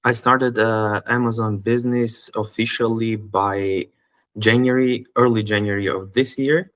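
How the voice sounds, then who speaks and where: A man from North Macedonia, with a fairly low voice, answers a host's questions. His replies are short and hesitant, with pauses and fillers. The recording is dry. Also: steady tone, fairly bright tone, dry recording. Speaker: guest, student seller. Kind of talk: interview answers.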